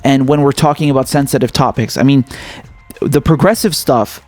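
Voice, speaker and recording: A man's voice: mid-range voice, normal speed, a little mellow, no echo, faint music behind. A man from Syria who hosts an independent political channel, talking casually and earnestly as he thanks his viewers. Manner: earnest and grateful, casual slang. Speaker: independent political YouTube host.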